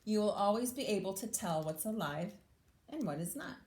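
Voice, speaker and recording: An echoey room carries the voice of a woman with a middle-pitched tone. She reads to young children as a teacher does, slipping between the book's sentences and her own plain explanations, often putting questions to her listeners. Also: expressive, moderate pace, a little mellow. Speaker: English language teacher reading aloud to children. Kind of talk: children's picture book read-aloud with informal explanations.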